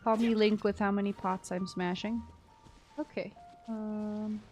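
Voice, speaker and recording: A woman livestreaming a game, chatting casually in a playful, teasing, giggly and expressive way. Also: mid-range voice, measured pace, soft background music. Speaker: livestream host. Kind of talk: casual game-stream chat.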